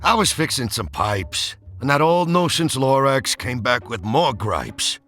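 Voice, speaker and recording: Male storybook reader, expressive rhyming narration, animated pitch, bright tone, faint music in background. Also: measured pace.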